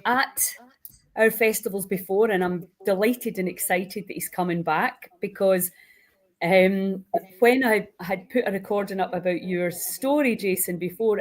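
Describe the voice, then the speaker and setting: A woman hosting an informal live online interview in a chatty, enthusiastic way. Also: medium pitch. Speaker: host.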